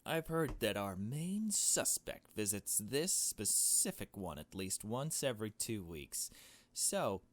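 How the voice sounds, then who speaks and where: A man voicing a brisk, bossy young female amateur detective from a visual novel, in a curt, businesslike way. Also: fairly low pitch.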